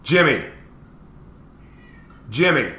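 A man's voice: medium pitch, sing-song, very slow, pushed and bright, roomy sound. A man hosting a live morning show, upbeat and laughing easily as he chats with a guest. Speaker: host. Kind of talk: casual live show chat.

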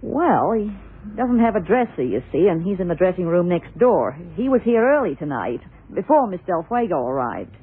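A hesitant but helpful theater dresser in an old radio play. Her voice is very husky and swings widely in pitch, heard through a thin, phone-like vintage recording. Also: very animated, normal speed. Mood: hesitant, earnest. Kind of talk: 1970s radio drama acting.

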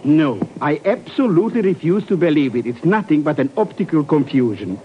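An actor playing an excitable, cowardly, muddle-headed comic sidekick in an old radio drama, flustered and tripping over his words. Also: on the high side, fairly quick. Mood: flustered protest. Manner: flustered, malapropisms, stammering repeats. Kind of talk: 1950s radio drama acting. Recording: noisy, dull old radio recording.

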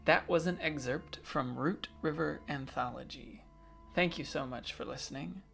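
A man's voice: fairly high pitch, normal speed, gravelly, a bit bright, soft background music. A man hosting a podcast, talking casually as he interviews a guest and addresses listeners. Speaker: podcast host.

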